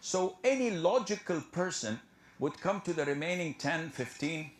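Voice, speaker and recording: A man giving an earnest religious lecture, explaining in a steady, lecturing way. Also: a little high, normal speed, echoey room. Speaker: preacher.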